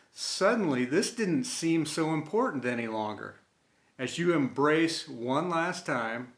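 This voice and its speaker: A man reading a scripted inspirational tribute in an earnest, sentimental way, with deliberate dramatic pauses between short phrases. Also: medium pitch. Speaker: storyteller and host of an inspirational series. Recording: roomy, echoey sound.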